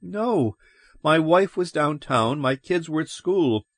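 A man's voice: mid-range voice, a little slow, no room sound, pitched above the performer's own voice. A male narrator voicing an agitated, bewildered ordinary man in a dramatic story reading. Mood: agitated, urgent. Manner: urgent, pleading, excitable.

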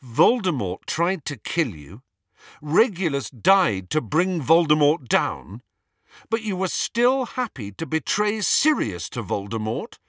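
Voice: mid-range voice, big pitch swings, takes it slowly, dry recording, studio quality. A performer voicing an indignant teenage boy in an audiobook, questioning relentlessly and insistently. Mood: relentless, challenging. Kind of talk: audiobook character acting.

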